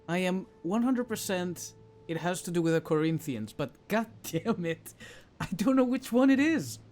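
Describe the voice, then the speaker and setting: Higher-pitched man doing casual gameplay commentary. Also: expressive, normal speed, a little mellow, a little hiss or hum. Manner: thinking aloud, mildly profane grumbling. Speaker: gaming video host.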